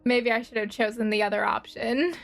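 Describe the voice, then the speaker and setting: A woman's voice: on the high side, steady tone, fairly quick, creaky. A woman streamer giving casual commentary as she plays a game, thinking aloud in a hesitant way.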